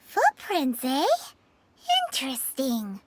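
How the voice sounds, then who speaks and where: Super high squeaky little fairy girl, cheeky, bouncing all over, game noise behind. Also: very animated, takes it slowly, heavily acted. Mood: intrigued. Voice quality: squeaky, childlike. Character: tiny cheeky flying girl companion, excitable and childlike. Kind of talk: video game voice acting.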